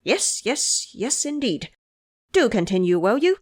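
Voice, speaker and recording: Woman acting haughty young crown prince, lively pitch. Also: unhurried, breathy edge, heavily acted. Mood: eager. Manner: imperious, indignant.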